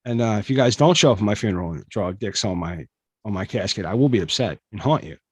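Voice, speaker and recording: A man's voice: lowish voice, lively intonation, quick pace, a little mellow, husky, dry recording. A man hosting a comedy podcast, joking and rambling with lots of fillers. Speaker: podcast host. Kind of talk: casual comedy podcast chat.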